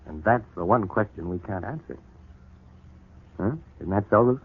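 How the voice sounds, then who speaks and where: A brooding, tender husband in a forties radio drama, burdened with guilt. His low voice is husky and comes through a thin, boxy old broadcast recording. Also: moderate pace. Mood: earnest, pressing. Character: troubled, guilt-ridden, grateful husband and father. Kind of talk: 1940s radio soap opera acting. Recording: muffled, narrow 1940s radio broadcast recording.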